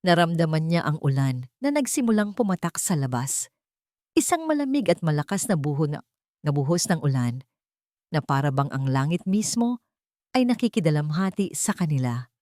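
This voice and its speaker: A woman with a low voice narrates a dramatic audiobook. Her phrasing is solemn and deliberate, and the sound is dry, with no room echo. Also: big pitch swings, a little mellow. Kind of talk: scripted dramatic story narration.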